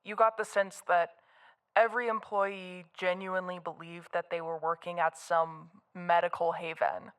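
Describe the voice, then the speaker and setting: A woman's voice: fairly low pitch, flat delivery, moderate pace, a bit bright, clean tone, natural voice. An actress playing a guarded, matter-of-fact woman answering an interviewer in an audio drama. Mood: uneasy, critical. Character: guarded, unimpressed woman witness recounting to a reporter.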